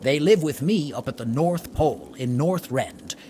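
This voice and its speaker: A man telling a holiday story in character, in a theatrical, deliberate way. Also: on the high side, expressive, warm tone, a little hiss or hum. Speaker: storyteller in a roleplay story event.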